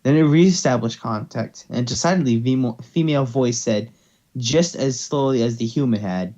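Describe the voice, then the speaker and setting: A man's voice: medium pitch, moderate pace, mellow, dull audio. A man narrating amateur fan fiction, now and then stumbling over words as he reads. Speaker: story narrator.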